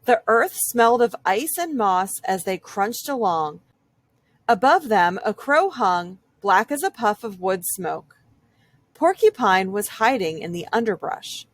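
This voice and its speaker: A woman reading a children's picture book aloud as an expressive storyteller. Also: mid-range voice, a little slow, no room sound.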